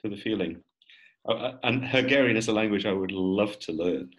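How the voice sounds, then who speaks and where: An older man on a video call, speaking in a measured, thoughtful way. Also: lowish voice. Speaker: discussion group member. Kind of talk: casual video call chat. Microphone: video call laptop mic.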